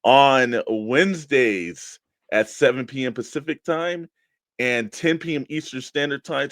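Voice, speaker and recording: A male co-host with a medium-pitched voice in a very dry recording. He moves between sponsor-style promotion and conversational chat with his partner. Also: a bit bright, no echo. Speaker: co-host of a toy collecting radio show.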